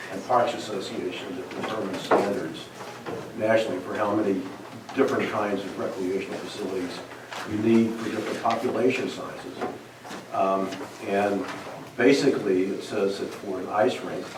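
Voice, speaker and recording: A man on a town commission presenting his research with halting fillers at a public meeting. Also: on the low side. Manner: hesitant, many fillers, defensive. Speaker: commission member. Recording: echoey hall from far off with noise.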